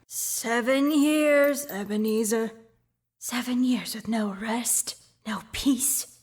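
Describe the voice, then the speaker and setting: An actor voicing the mournful ghost of an old businessman bound in chains, in a student radio drama, speaking solemnly and dropping into breathy whispers. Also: extremely high pitch, takes it slowly, exaggerated voice.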